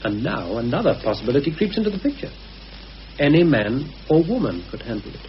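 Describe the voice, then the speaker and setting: An actor playing a cautious, anxious British conspirator in a radio play, speaking in a deliberate, hesitant, earnest way. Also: male voice, mid-range voice, very animated. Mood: grave, suspicious. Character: anxious, cautious male conspirator confiding a grave suspicion. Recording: muffled, hissy old radio recording.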